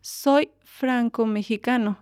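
A woman's voice: fairly high pitch, steady tone, slow pace, darkish tone, no room sound, studio quality. A woman hosting a community radio show, patiently coaxing a young guest through a short lesson. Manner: patient, coaxing, prompts a child step by step. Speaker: radio host. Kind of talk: community radio presenting and interviewing.

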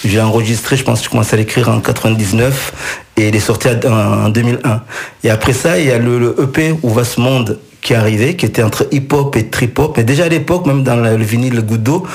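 A gravelly-voiced middle-aged man talks as a musician on a radio interview. His is Swahili, and he wanders through his memories with frequent restarts and fillers. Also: medium pitch, fast talker. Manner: rambling, many restarts and fillers. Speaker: musician guest.

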